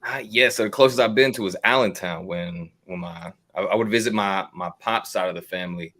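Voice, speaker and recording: Male American sports co-host, deeper pitch with a sharp edge, relaxed slangy conversation among friends. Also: brisk. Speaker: co-host of a football fan show. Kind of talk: casual chat.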